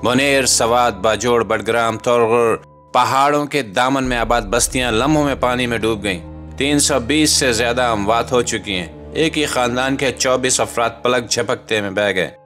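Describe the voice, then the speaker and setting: A man's voice: medium pitch, moderate pace, fairly bright tone. Solemn Pakistani man narrating over music. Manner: solemn, rhetorical, emphatic. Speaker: host and narrator of a commentary channel. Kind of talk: scripted dramatic documentary voice-over. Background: background music.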